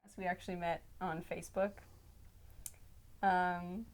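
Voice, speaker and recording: A woman's voice: fairly low pitch, little pitch movement, measured pace. A woman in her twenties from Canada, a music graduate student, telling the story of her relationship in a chatty way. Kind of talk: personal testimony in a documentary interview.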